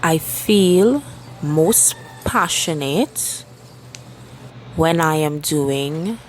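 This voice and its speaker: A woman leading a guided meditation with spoken affirmations, soothing and deliberate, leaving long pauses between short phrases. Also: low-pitched, animated, a little hiss or hum, low-quality recording. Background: soft background music.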